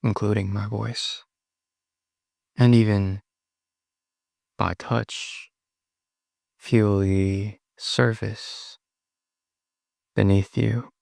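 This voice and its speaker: A man leading a guided meditation in a soothing manner, pausing often between short phrases. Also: lowish voice, flat delivery, vocal fry, a little mellow, no echo, studio quality. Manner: slow, pausing, soothing delivery.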